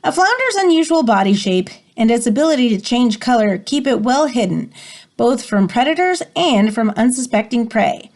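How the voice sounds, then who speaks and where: A woman's voice: on the high side, animated, moderate pace, a little mellow. A woman reading a picture book aloud like a teacher, expressively and with dramatic emphasis, adding short explanatory asides.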